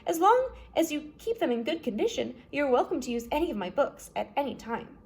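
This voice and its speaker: A woman voicing a kindly, bookish female judge in a storybook, with a cheerful, polite and expressive manner. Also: sits high, brisk. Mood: proud, welcoming. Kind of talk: storybook character voice.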